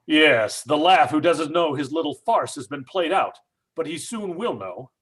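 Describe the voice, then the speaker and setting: A man acting as a stubborn middle-aged father in an old-time radio chiller. His voice is fairly high and husky, swinging widely as he blusters and argues that it is all a hoax. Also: animated, a little slow, a bit bright, full character voice. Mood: scornful. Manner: blustering, combative. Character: stubborn, skeptical father, angrily sure of a hoax.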